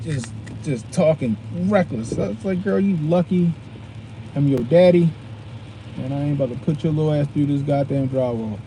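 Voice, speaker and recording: A man in his forties, a podcast host telling a casual story in a blunt, profane and animated way, full of slang. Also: on the high side, normal speed. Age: 40s. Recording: loud noise behind the voice.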